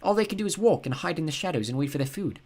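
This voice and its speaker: Guy doing a young girl voice, earnest, lively, kinda urgent. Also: rapid-fire. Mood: instructive. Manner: raised pitch, urgent and hushed. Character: earnest young girl, bird-loving, intense.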